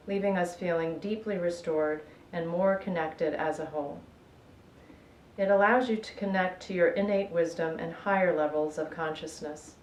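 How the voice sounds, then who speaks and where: A woman guiding a relaxation meditation in a measured, soothing way, with gentle pauses. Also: on the low side. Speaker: yoga nidra guide. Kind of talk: guided relaxation meditation, instructional narration. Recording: echoey room with faint background noise.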